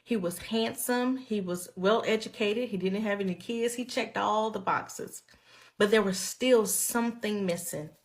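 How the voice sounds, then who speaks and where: A woman's voice: mid-range voice, unhurried. A woman in a testimonial video, speaking in an earnest, reflective way about a personal decision.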